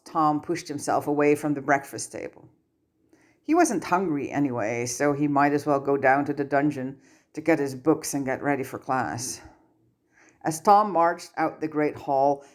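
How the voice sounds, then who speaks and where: A woman's voice: low-pitched, a little mellow. A woman reading a story aloud as scripted audiobook-style narration. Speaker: amateur fan fiction narrator.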